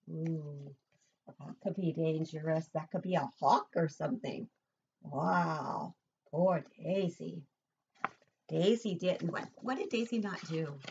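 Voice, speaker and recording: A woman's voice: fairly low pitch, muffled recording, slight background noise. An older woman, a preschool teacher, reading a picture book expressively to young children and asking simple questions, recorded indoors at home. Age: older, 60s or above.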